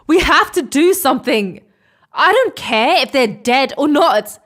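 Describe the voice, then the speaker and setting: A woman's voice: high voice, expressive, measured pace, fairly bright tone. A woman acting a determined, stubborn space explorer in a sci-fi audio drama, pleading and insistent. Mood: desperate.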